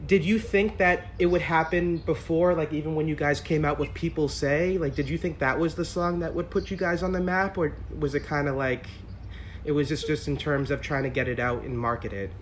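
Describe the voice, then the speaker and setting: A man hosting an interview with a higher pitched voice, asking eager, rambling questions. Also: steady tone, a little fast, slight background noise. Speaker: interview host. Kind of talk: casual interview conversation.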